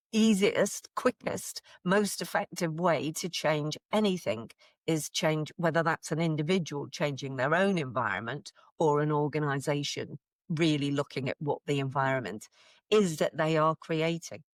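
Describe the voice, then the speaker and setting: A woman's voice: deep voice, measured pace, no echo, clean studio sound. A middle-aged woman hosting a podcast interview, agreeing emphatically and asking questions in an enthusiastic, conversational way. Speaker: podcast host.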